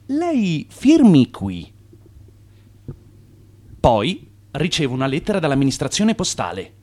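Radio play lead: driven con man, lively and highish, commanding, theatrical. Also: slow pace, exaggerated voice. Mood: brisk, businesslike. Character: driven, wily immigrant swindler, adult man. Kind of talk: radio play acting and narration.

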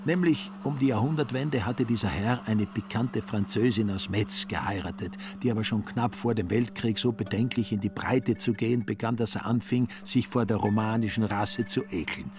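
A man's voice: on the low side, moderate pace, hardly any pauses. A man narrating a literary radio play in a scripted, dryly ironic storytelling manner.

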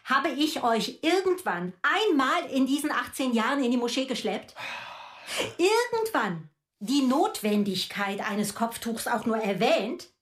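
A woman's voice: high voice, very animated, unhurried. An actress playing an angry, proud middle-aged mother who rants with sarcasm in a radio drama. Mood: indignant.

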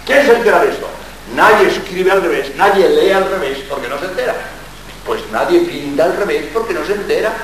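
An older Jesuit priest from Spain gives a public lecture. He jokes and fires rhetorical questions at the room in a fairly high voice. The recording is distant and heavily echoey, like a large hall. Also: brisk, slight background noise. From Spain, born in Barcelona. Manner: lively, joking, full of rhetorical questions. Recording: very echoey hall, mic far off.

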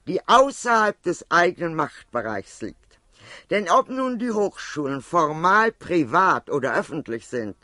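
High-pitched man lecturing formally. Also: a bit bright, no room sound, studio quality. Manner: measured, formal, precise. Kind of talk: formal scripted philosophical lecture.

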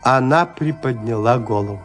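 A man narrating a literary audio drama in a measured, suspenseful manner with deliberate pauses. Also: medium pitch. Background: music.

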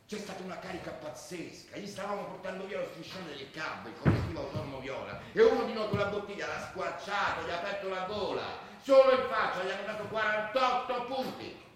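An actor playing a brash, combative football ultra in a radio drama, coarse and excitable. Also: male voice, sits high, brisk, hardly any pauses, over music. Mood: gritty recounting. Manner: coarse, excitable, swinging from muttering to shouts. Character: brash, combative male football ultra reliving stadium fights. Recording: very echoey room.

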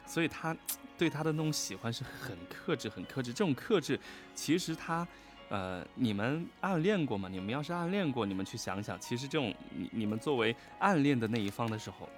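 Male livestream guest, highly animated mid-pitched delivery, casual commentary over faint music. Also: a little fast. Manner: animated, addresses listeners with questions. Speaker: guest on an audio drama livestream.

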